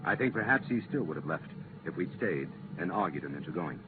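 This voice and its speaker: An actor playing an earnest, regretful but firm commission official in a 1950s radio drama, speaking deliberately and emphatically. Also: male voice, low voice, animated. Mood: grave explaining. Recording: muffled, noisy 1950s radio transcription.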